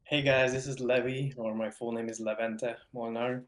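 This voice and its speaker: A young man with a Hungarian accent talks as a guest in a casual interview. His voice sits at a middle pitch with a noticeably roomy echo around it, and he often doubles back on words as he explains things. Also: level tone, normal speed, fairly bright tone. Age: young adult. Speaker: podcast guest. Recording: roomy, echoing voice.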